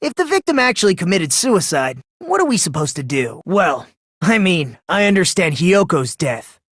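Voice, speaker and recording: Casting: polite, unsettlingly excitable teenage boy for a mystery game; breathy gushing and soft musing, highly varied intonation, dry studio sound. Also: moderate pace, no room sound. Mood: calm musing. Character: polite, self-loathing, eerily excitable teenage boy sleuth. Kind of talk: English video game voice acting.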